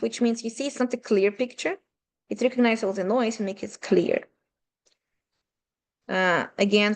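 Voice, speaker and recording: A woman's voice: medium pitch, darkish tone. A woman with a Russian-Ukrainian accent giving an online math seminar lecture from home, talking conversationally and asking rhetorical questions. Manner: conversational, asks rhetorical questions, non-native phrasing. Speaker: math teacher. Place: home room with a running aquarium.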